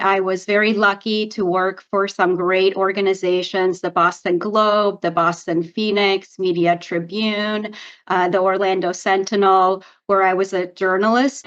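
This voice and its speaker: A woman's voice: mid-range voice, fairly bright tone. A middle-aged woman from Romania, a native Romanian speaker, telling her story in an upbeat way as a guest on a video-call interview. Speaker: guest, PR agency founder. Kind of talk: interview answers. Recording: slightly dull lo-fi audio.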